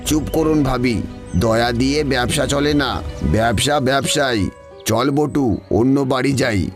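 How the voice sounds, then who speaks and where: Cartoon villain: cruel village moneylender, mocking and threatening, mid-pitched male actor, prominent music bed. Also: unhurried, close to an everyday voice. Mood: dismissive. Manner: scornful, bullying. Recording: music playing behind the voice. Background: background music.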